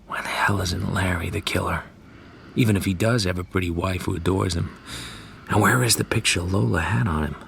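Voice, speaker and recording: A hardboiled private eye in a radio detective drama. His voice is on the low side, dry and sardonic, with clipped world-weary wit. A little noise sits behind him. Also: fairly quick, vocal fry, fairly bright tone, heavily acted. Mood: brooding. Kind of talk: hardboiled first-person radio drama narration and dialogue.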